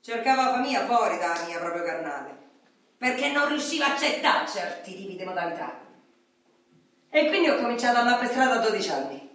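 An actress playing a rough, candid, wounded ex-convict street woman with a Roman accent, in a colloquial, rambling radio theatre monologue. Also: on the high side, big pitch swings, fast talker, fairly bright tone. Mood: matter-of-fact, weary. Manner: colloquial, rambling, confiding, with outbursts. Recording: very echoey room, distant microphone.

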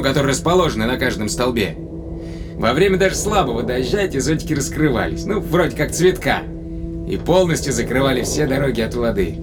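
An actor playing a tender but probing spaceship captain in a Russian audio drama, speaking conversationally with deliberate pauses. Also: male voice, mid-range voice, close to an everyday voice. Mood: fond reminiscing. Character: male spaceship captain, long-lived, tenderly probing his companion.

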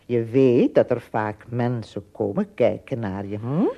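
Casting: a childlike, affectionate giant, mellow and unhurried, stammering repeats, radio drama. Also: male voice, medium pitch, vocal fry, muffled recording. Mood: doting, hesitant. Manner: halting, repeats words, exclaims often. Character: giant girl keeper, slow, doting, childlike, simple. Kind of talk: radio play dialogue.

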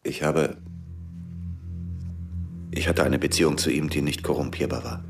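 A very deep-voiced, gravelly man speaks as a grim former operative in a radio play. His delivery is sombre and deliberate, the words kept terse. Music runs underneath. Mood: firm, defensive. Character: grim, driven male ex-intelligence operative seeking revenge. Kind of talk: radio drama acting and first-person narration.

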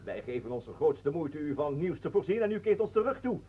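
An actor playing a flattering, easily slighted entertainer, theatrical and unctuous, in a radio drama. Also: male voice, a little high, fairly quick, breathy edge. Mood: slighted, warning. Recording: muffled, phone-like old radio recording.

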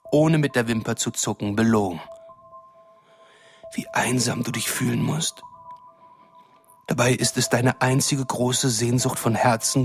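An actor playing a cruel, condescending shapeshifter villain in a radio drama, taunting and threatening in a smooth-talking way. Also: male voice, mid-range voice, lightly acted. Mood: cruel, coaxing. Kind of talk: German radio drama acting.

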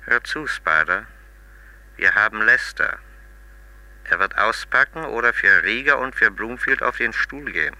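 Commanding investigator: very low, hard-edged voice, shrewd and threatening, crime radio play. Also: male voice, lively intonation, measured pace, sharp tone, dry recording, exaggerated voice, poor audio. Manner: clipped, authoritative, threatening.

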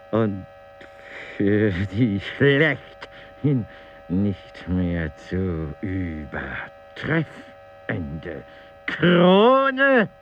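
An actor playing an agitated man in an experimental radio play, reciting in slow, broken phrases with wordplay. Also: deep voice, full character voice. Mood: declaiming. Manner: drawn-out, fragmented, word-play delivery. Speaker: radio drama performer. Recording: dull broadcast tape with mains hum.

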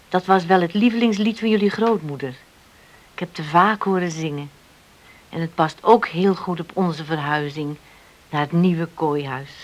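A woman's voice: on the low side, lightly acted. A woman acting a devout, sentimental farm mother in a Dutch radio drama, on a hissy old recording.

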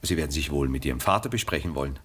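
Worldly philosophical gent in a radio drama, super expressive pitch, husky, very proper and eloquent. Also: male voice, exaggerated voice. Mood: composed, courteous. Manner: formal, eloquent, measured phrasing. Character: worldly, imperturbable gentleman with grand philosophy of living. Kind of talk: German radio drama acting.

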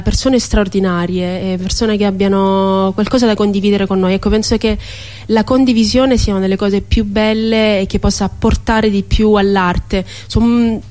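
A woman with a mid-pitched voice speaks as a guest in a radio interview. She talks earnestly and spontaneously, feeling her way through phrases with thoughtful pauses. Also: a little mellow. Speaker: theatre performer, guest.

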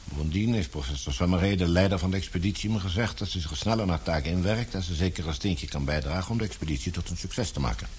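A man acting in a Dutch radio play as a businesslike, fatherly middle-aged employer with a measured, authoritative manner. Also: deep voice, darkish tone, breathy edge. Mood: concerned, fatherly. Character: businesslike, fatherly older male employer, concerned for daughter. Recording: hissy radio play recording.

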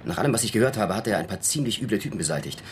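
An actor playing a frank young American army lieutenant in a radio drama, deferential and matter-of-fact. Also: male voice, fairly low pitch, animated, very fast, a little hiss or hum, lightly acted. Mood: frank, guarded. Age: young adult.

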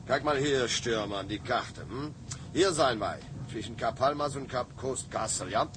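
A man's voice: medium pitch, measured pace, background noise, close to an everyday voice. An actor playing a stubborn, commanding older German ship's captain, mixing German into his Dutch with a strong German accent. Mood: brisk, irritated. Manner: mixes German into Dutch, clipped questioning tags. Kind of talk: period seafaring radio drama acting.

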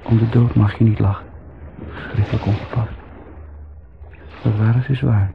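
A man's voice: lowish voice, steady tone, moderate pace, gravelly. An actor playing a wry, musing, fatalistic man in a 1970s radio drama, speaking with irony. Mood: wry, uneasy. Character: Joris, sardonic, rambling, fatalistic brother. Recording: tinny, noisy 1970s radio recording.

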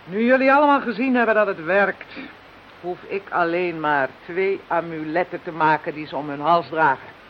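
A woman's voice: lowish voice, moderate pace, natural voice. Actress as a practical witch, self-assured, instructive, radio play delivery, muffled recording, faint hiss. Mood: confident. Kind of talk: radio drama acting. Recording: dull, hissy radio drama recording.

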